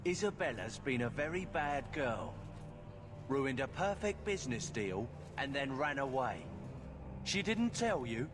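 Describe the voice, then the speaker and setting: An actor playing a mocking, threatening criminal boss in a fantasy video game. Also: male voice, medium pitch, very animated, unhurried. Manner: taunting, threatening. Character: menacing, mocking male criminal henchman boss. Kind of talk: video game villain dialogue. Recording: clear ambient noise behind voice.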